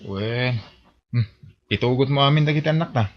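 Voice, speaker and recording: A man's voice: medium pitch, moderate pace, natural voice. A man with a Filipino accent acting a hard-drinking, evasive son and husband in an audio drama. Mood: brusque. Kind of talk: amateur Ilocano radio-style drama acting. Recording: dull lo-fi audio.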